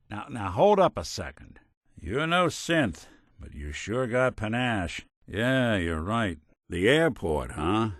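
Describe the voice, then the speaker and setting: An actor playing a world-weary, wry but kindhearted android detective in a video game, with hardboiled old-fashioned slang. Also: male voice, lowish voice, no room sound, studio quality.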